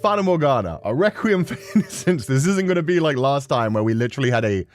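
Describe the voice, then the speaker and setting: Chatty man streamer with a very animated pitch. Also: fast talker, faint music behind. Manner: chatty, giggly, expressive. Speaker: livestream host, gaming streamer. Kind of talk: casual rambling stream chat before a game.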